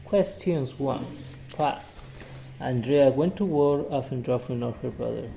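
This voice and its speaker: A man leads an English lesson, asking simple questions and answering them himself. His voice is mellow and unhurried, and he speaks very slowly and deliberately. Faint noise sits behind him. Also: medium pitch, vocal fry, poor audio. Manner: repeats sentences, asks and answers his own questions. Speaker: English teacher. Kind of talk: slow question-and-answer drilling for learners.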